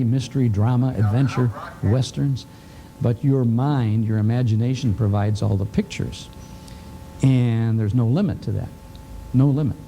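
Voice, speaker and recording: Mellow, dark-voiced man explaining at ease. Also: mid-range voice, vocal fry. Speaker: guest, old-time radio broadcaster. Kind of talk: unscripted interview explanation.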